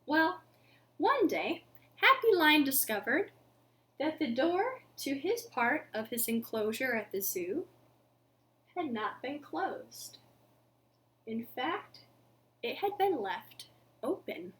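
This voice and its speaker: Young woman, children's storytime host, high voice, slow pace, animated storytelling. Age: young adult. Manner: animated, addressing young children, deliberate phrasing. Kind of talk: children's storytelling and action songs. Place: living room at home.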